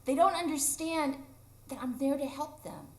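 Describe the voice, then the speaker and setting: A woman's voice: sits high, normal speed, a little mellow. A woman acting a playful, carefree and stubborn ageless boy in a live radio drama. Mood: earnest. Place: improv theatre stage, live audience. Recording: roomy live stage recording.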